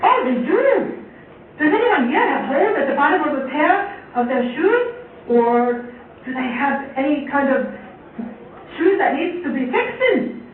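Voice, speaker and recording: A woman's voice: high-pitched, big pitch swings, a little slow. Woman playing a theatrical male leprechaun, echoey and muffled. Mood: jovial, welcoming. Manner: theatrical, addressing children directly. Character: cheerful, folksy male leprechaun narrator, dramatically woeful. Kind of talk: live children's puppet show narration. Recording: muffled, echoey far-off recording, faint noise.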